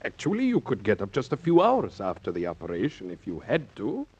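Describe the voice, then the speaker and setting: Husky, animated, breezy surgeon. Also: male voice, on the low side, a little fast, exaggerated voice. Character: breezy, reassuring male surgeon. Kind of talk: 1950s radio drama acting. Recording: dull 1950s radio recording.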